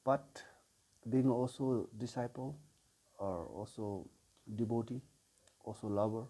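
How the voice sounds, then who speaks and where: A man in his forties from Nepal with a Nepali accent, a sound healer telling his life story in an unhurried way, pausing often. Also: medium pitch, dark tone, husky. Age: 40s. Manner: unhurried, with frequent pauses and filler sounds. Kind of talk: personal life story told to camera.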